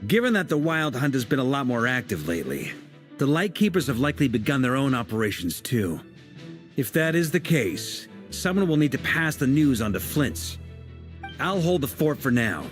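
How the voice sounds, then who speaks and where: A man's voice: medium pitch, sing-song, normal speed, soft background music, close to an everyday voice. An actor voicing a composed male leader in a game, wry and commanding as he assigns tasks. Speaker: leader planning the team's next moves.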